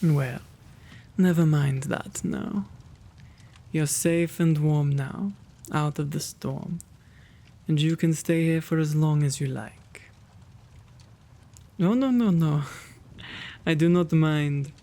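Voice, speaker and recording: A man playing a kind, quaint gentleman vampire in an ASMR roleplay, with genteel, old-fashioned, fussy diction and a creaky voice. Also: on the high side, slow, pauses often. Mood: soothing.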